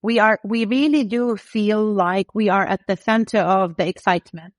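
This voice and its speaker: A woman's voice: medium pitch, moderate pace, no room sound, studio quality. Confident British-raised businesswoman. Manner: animated, confident, storytelling with vivid examples. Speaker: company co-founder, guest. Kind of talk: casual interview talk.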